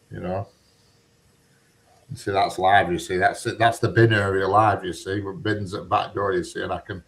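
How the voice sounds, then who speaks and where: A middle-aged man, rambling and cheeky in casual chat. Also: sits low. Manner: rambling, chatty, dialect-heavy, cheeky. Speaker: conversation partner.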